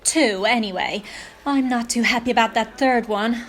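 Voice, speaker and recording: A woman's voice: fairly high pitch, normal speed, slight background noise, close to an everyday voice. A woman playing a blunt, tactless fortune-teller in a radio play, brusque and matter-of-fact with dry gloom. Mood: drily ominous.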